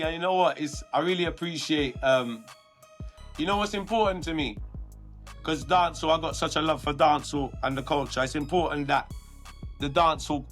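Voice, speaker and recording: A man with a London accent, a guest artist talking earnestly through his career in a relaxed interview. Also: a little high. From London, England. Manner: earnest, reflective, many restarts.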